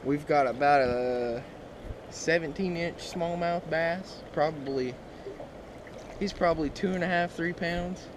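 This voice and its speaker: A man's voice: a little high, a little slow. Young man boasting about a catch. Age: young adult. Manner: proud, casual. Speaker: fishing buddy. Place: small boat on a river. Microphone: action camera. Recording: outdoor action-camera noise.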